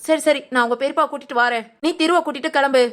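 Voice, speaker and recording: A woman's voice: high-pitched, fairly even intonation, rapid-fire, lightly acted. A woman playing a caring but skeptical grandmother in a family audio drama, homely and chatty. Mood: surprised.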